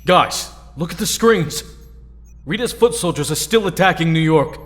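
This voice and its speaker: An actor playing a determined, hot-headed young superhero leader in an audio drama. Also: male voice, on the high side, lively intonation, moderate pace, a bit bright, faint music behind. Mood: urgent. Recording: long reverb.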